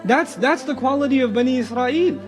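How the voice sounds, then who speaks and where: A man's voice: very high-pitched, expressive, a little fast, faint music behind. A man giving an Islamic lecture in an emphatic, explanatory way, often repeating phrases. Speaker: religious lecturer.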